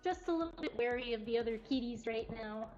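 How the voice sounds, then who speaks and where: Woman, slightly high-pitched, casual livestream presenter, informal, dull audio, faint background music. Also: a little fast. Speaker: cat cafe staff presenter. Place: indoor cat cafe.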